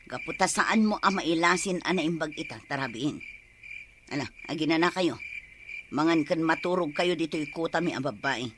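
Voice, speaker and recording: Commanding, insistent muscle-gang boss played by an actor, Ilocano accent, comedy drama, clearly noisy recording. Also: medium pitch, normal speed, close to an everyday voice. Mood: bossy, calm. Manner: bossy, insistent. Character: commanding boss of a muscular women's lair.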